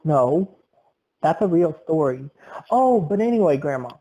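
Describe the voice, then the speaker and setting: Young male podcast host, tall-tale storyteller, a bit high, mellow tone. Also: very animated, a little slow, low-quality recording. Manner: exaggerated tall-tale telling. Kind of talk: comic storytelling.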